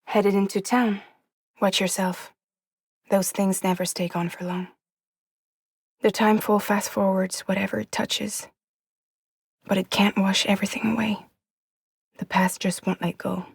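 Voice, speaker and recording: An actress playing a guarded, wry woman courier in a post-apocalyptic video game, with soft, breathy, measured and deadpan delivery. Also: mid-range voice, dry recording, clean studio sound. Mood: quiet, guarded. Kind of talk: cinematic video game acting.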